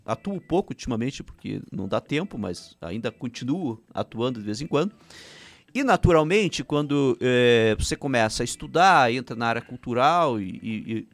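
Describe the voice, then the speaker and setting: A man's voice: mid-range voice, animated, moderate pace, vocal fry. Chatty man with Ukrainian roots. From Paraná, Brazil. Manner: rambling, frequent restarts and "né?" tags. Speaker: filmmaker guest. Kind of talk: casual interview chat.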